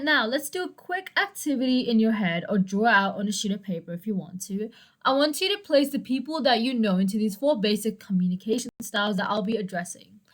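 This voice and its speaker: A young woman with a hoarse, dry voice hosting a solo educational podcast, chatty and prone to self-deprecating asides. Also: a little high. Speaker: podcast host.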